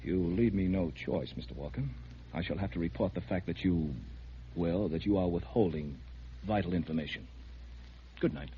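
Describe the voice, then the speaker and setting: An actor playing a stern, skeptical military major in a 1950s radio drama. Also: male voice, sits low, normal speed, background noise, lightly acted. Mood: resigned, formal. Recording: muffled 1950s radio recording.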